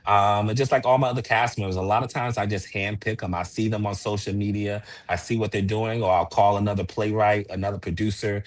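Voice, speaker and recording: A man's voice: fairly low pitch, fairly even intonation, brisk, few pauses. A man talking casually as a playwright and producer being interviewed.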